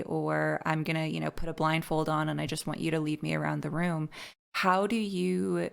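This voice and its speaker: A woman hosting a podcast, with a fairly low voice that is smooth and resonant yet edged with vocal fry. She asks thoughtful, politely phrased questions. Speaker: podcast host. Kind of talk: interview questions.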